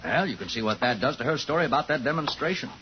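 An actor playing a weary but loyal police superior who goes by the book, in a 1950s radio thriller. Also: male voice, medium pitch, animated, quick pace, close to an everyday voice. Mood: resigned, firm. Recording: muffled, slightly noisy vintage radio recording.

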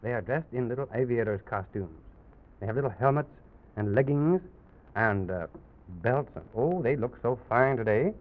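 A man's voice: mid-range voice, expressive, normal speed. A man hosting an old-time children's radio story, talking in simple phrases aimed at young children. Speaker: children's show host and storyteller. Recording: noisy, muffled old radio recording with clicks.

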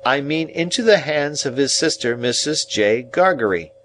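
A man playing a simple-hearted, kindly working man who speaks in a halting, deliberate, rustic way in an audiobook. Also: medium pitch, lively intonation, a bit bright, a little hiss or hum, voice pushed up, natural voice. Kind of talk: audiobook character voice.

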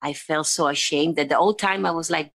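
Chatty Italian woman with a low voice. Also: brisk, creaky. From Italy. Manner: chatty, animated, frequent restarts and fillers. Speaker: singer and interview guest. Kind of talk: casual conversational storytelling.

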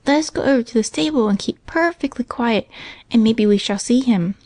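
A woman's voice: fairly high pitch, fairly quick, mellow, no echo, pitched above the performer's own voice, lightly acted. A woman voicing an eager, wheedling, sweet and bold little girl in a storybook reading. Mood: excited coaxing. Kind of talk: storybook character voice.

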